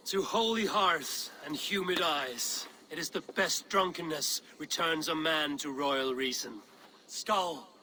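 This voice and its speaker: A woman's voice: deep voice, slow, sharp tone, slight background noise, exaggerated voice, rough recording. A woman playing a bold, hard-drinking Viking warrior in a game cutscene, blunt and boastful. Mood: merry, rowdy. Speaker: lead character. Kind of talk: video game cutscene acting.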